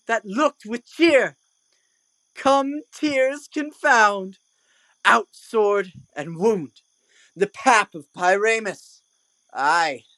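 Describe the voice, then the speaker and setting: A woman's voice: on the high side, fairly bright tone, dry recording, exaggerated voice. A woman playing a hammy amateur player as a doomed, grandly lamenting lover in a Shakespearean audio play, declaiming melodramatically.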